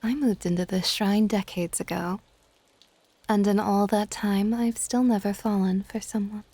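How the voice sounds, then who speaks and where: A woman playing an older dragon goddess in an ASMR roleplay, soft-spoken and formal, stately and gently exasperated. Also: mid-range voice, fairly even intonation, unhurried, no room sound. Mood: amused, dismissive. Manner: soft-spoken, breathy asides, formal wording.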